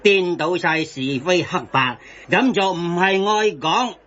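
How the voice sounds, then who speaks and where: An actor playing an opinionated uncle who speaks emphatically in Hong Kong Cantonese in a satirical radio drama. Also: male voice, high-pitched, lively intonation, a little slow, a bit bright, muffled recording. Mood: disapproving.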